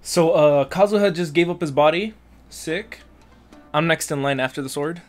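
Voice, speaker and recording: A man's voice: fairly high pitch, moderate pace, soft background music. A man doing casual, joking gameplay commentary with plenty of slang and swearing, as a streamer. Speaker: gaming streamer.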